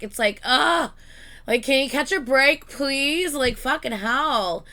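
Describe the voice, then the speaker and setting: Bright, slightly hoarse woman vlogger. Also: on the low side. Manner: chatty, excitable, swears freely. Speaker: reaction channel host. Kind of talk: casual reaction vlog chat.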